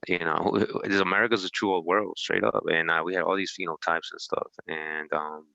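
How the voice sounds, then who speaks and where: Costa rican guy, deep clear voice, just chatting informally, bit muffled audio. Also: fairly even intonation, moderate pace, pushed and bright, dry recording. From Costa Rica. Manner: informal, frequent "you know". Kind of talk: casual discussion chat.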